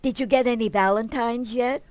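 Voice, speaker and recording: A woman's voice: on the high side, normal speed, darkish tone, no room sound, lightly acted. A woman playing an eagerly curious, chirpy, insistent housewife in an old-time radio comedy. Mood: cheerful.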